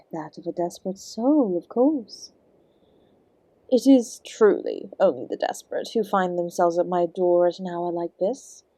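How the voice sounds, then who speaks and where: A woman's voice: medium pitch, mellow, husky, no echo. A woman playing the Devil in an audio roleplay as a charming, sardonic, ancient hostess who speaks to the listener with genteel, theatrical courtesy. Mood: gracious, coaxing. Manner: genteel, teasing, theatrical, calling the listener "my dear". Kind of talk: scripted audio roleplay, addressing the listener directly.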